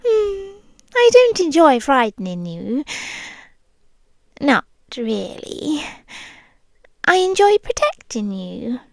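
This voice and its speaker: A British woman voicing a possessive, lovesick, centuries-old vampire girlfriend in an intimate ASMR roleplay, hushed and breathy, often slipping into whispers. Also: high voice, big pitch swings, takes it slowly, long pauses, dry recording, full character voice. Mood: wounded, intense. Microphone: close microphone, dry.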